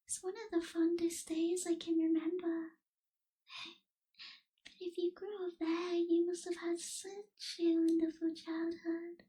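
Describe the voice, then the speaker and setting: High, soft-whispering melancholy vampire girl, acted by a woman. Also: little pitch movement. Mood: wistful, nostalgic. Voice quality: soft, breathy, half-whispered. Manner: slow, wistful, hushed, formal wording. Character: melancholy young female vampire, gentle reluctant captor. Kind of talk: ASMR roleplay.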